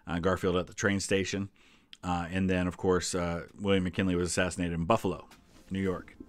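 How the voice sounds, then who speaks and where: A man's voice: low-pitched, level tone, a little fast, dry recording, studio quality. An American man in his forties giving casual, conversational commentary as a history channel host. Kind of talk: casual reaction commentary to a quiz.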